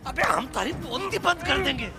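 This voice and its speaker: A man's voice: extremely high pitch, big pitch swings, brisk. A man voicing a hot-headed, aggrieved monkey in a cartoon film, ranting in Bhojpuri-flavoured eastern Hindi. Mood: indignant. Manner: ranting, indignant, exclamatory. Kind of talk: animated film comedy voice acting. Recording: film music behind the voice.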